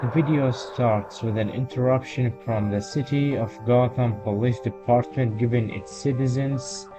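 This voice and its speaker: A man narrating a horror video commentary in a halting way, pausing mid-sentence as he explains and reads out quotes. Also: mid-range voice, fairly even intonation. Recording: dull lo-fi voice recording. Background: background music.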